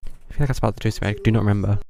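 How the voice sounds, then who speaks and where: A man's voice: fairly low pitch, fairly even intonation, racing, vocal fry, warm tone. A man giving casual live gameplay commentary, muttering and thinking aloud to himself. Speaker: game streamer.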